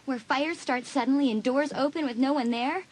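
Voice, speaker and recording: An actress playing a troubled, uneasy teenage schoolgirl with a husky voice in an audio drama. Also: high voice, moderate pace.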